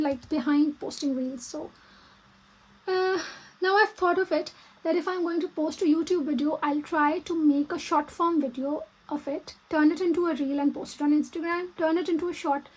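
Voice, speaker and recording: Female vlogger, Pakistani accent, native Urdu speaker, high-pitched voice, halting think-aloud delivery for casual plan-with-me content. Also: a little mellow, rough recording. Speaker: vlogger and small business owner. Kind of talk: casual plan-with-me vlog talk.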